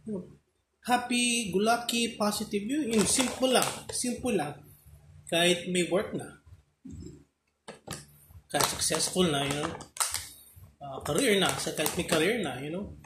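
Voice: medium pitch, moderate pace, pauses often. A person in their thirties with a Filipino accent, a native Filipino speaker, chatting casually and ramblingly while reviewing a snack, often repeating phrases. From Philippines. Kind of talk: casual chat, mixing Tagalog and English. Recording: roomy echo, noisy background, clicks.

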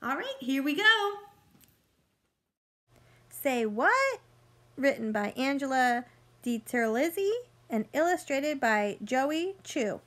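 A woman in her thirties reading a picture book aloud to young children like a teacher, expressive and sing-song, pausing to ask simple questions. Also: high voice.

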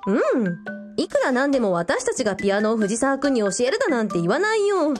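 Breezy, animated young elder sister acted by a woman. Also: high-pitched, fairly quick, music in the background. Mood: teasing. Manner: casual, playful, lively. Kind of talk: radio drama acting.

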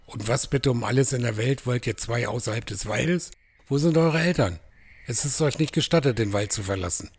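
A man playing a stern, protective forest huntsman in a fantasy audio drama, with a gruff, scolding manner. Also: medium pitch, moderate pace, darkish tone, a little hiss or hum, lightly acted. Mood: indignant.

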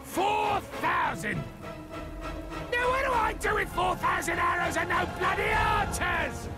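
Game orc warlord: exasperated blusterer, high and animated, morbid, loud music behind. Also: male voice, measured pace, over a noisy crowd, heavily acted. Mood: blustering, exasperated. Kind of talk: video game character acting.

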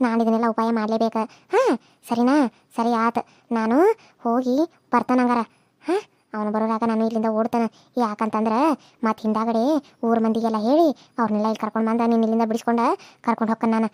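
A woman's voice: a little high, little pitch movement, darkish tone, no echo, studio quality, lightly acted. A woman playing frightened village women who plead and wail. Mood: hushed scheming. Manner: wailing, pleading, fast.

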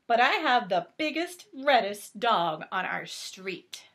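A middle-aged woman reading a picture book aloud to children as a teacher, expressive and deliberate, with drawn-out emphasis. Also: fairly high pitch. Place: small home bedroom, indoors.

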